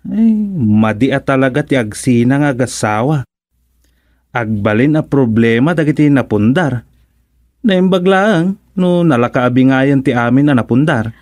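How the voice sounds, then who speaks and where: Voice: mid-range voice, expressive, moderate pace, darkish tone, no echo, studio quality, close to an everyday voice. An actor playing a fretting village gossip in a radio comedy, chatty and exclamatory, with an Ilocano accent. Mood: concerned, gossiping. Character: gossiping villager fretting over neighbours' separation. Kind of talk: radio serial drama-comedy acting.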